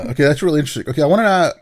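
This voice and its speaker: A man's voice: a little high, animated, very fast. A man hosting a podcast interview, talking in a loose, casual way with lots of fillers. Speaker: podcast host.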